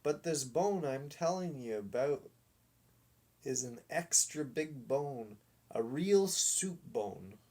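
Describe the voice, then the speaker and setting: A man's voice: mid-range voice, lively intonation, slow pace, dark tone. A man voicing an eager, honest talking dog in a children's storybook, earnest and beseeching. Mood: sad, insistent. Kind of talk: children's storybook read aloud.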